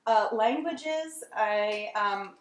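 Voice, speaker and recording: A woman's voice: mid-range voice, lively intonation, drawn-out words. A woman from Staten Island with a New York accent, sharing personal stories casually with laughs and many ums. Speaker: workshop participant. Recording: echoey room.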